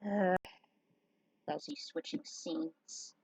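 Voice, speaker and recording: A woman's voice: medium pitch, very animated, drawn-out words, vocal fry, noisy background. Distant-miked woman reading a story aloud. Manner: chatty, jokey asides. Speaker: fan fiction reader. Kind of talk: story narration with casual asides.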